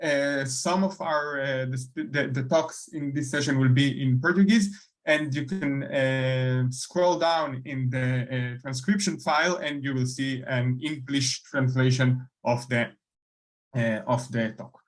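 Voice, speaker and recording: A man chairing a session of an online meeting, introducing speakers in a halting way with many fillers. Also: medium pitch, steady tone.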